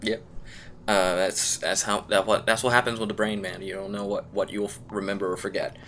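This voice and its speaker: A man's voice: fairly low pitch, flat delivery, a little fast, fairly bright tone, a little hiss or hum. A man acting as game master, chatting casually and answering players in a laid-back, rambling way. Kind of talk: casual chat running a tabletop game.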